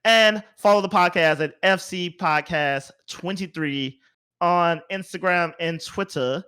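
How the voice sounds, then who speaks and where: A male podcast host with a voice a little higher than average. He chats casually in a playful, slangy style with a teasing edge. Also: measured pace, sharp tone, smooth. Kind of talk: casual chat.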